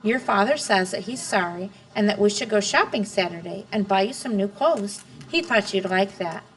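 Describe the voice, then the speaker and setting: A woman reading aloud, voicing a weary mother who speaks gently and pleads. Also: mid-range voice, moderate pace, close to an everyday voice, rough recording. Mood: gentle.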